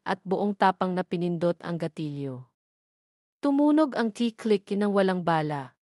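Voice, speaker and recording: A woman with a Filipino accent narrates a dramatic story from a script. Her voice sits at an average pitch for a woman, and the sound is dry and close, free of room echo. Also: a little mellow.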